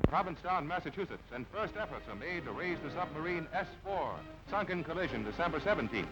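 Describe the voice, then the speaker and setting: A man giving brisk, emphatic scripted newsreel narration on a dull, lo-fi archival recording over music. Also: a little high, animated. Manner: brisk, emphatic announcer delivery.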